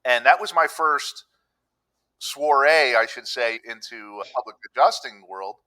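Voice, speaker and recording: A middle-aged man from Pennsylvania chats as a podcast guest in a clear, bright voice of ordinary pitch. He talks in an easygoing, storytelling way and acts out the people he quotes. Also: lively intonation, measured pace, poor audio. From Pennsylvania, USA. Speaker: public adjuster, podcast guest. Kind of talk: conversational interview. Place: room with a countryside backdrop.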